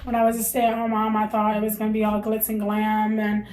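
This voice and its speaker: A woman's voice: medium pitch, monotone, fairly quick, a little mellow, echoey room, slight background noise. A young woman, a mother, talking candidly to camera in a casual chat, sounding stuffy and congested from allergies, with lots of fillers. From United States. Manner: chatty, candid, many fillers. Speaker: vlogger, mother.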